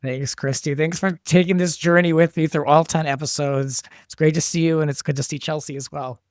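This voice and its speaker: A man's voice: fairly high pitch, steady tone, a little fast, no echo, studio quality. A man talking as an interview guest, a producer explaining his work in an informal, enthusiastic way. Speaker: guest, show creator and producer. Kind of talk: casual interview chat.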